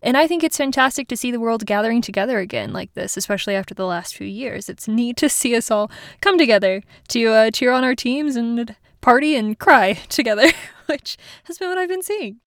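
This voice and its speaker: A woman's voice: a little high, animated, a little fast, no echo, clean studio sound. A woman hosting an educational podcast in a casual, chatty way, laughing at her own slips. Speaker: podcast host.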